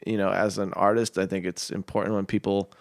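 A man from Boston, a singer-songwriter guest, talking casually and haltingly with many fillers and restarts. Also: deep voice, level tone, gravelly, no room sound, clean studio sound. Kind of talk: casual interview chat.

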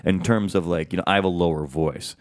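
A man's voice: low voice, steady tone, fairly quick, no room sound, clean studio sound. A man hosting an interview, chatting casually and rambling through long questions with many restarts. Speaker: podcast host.

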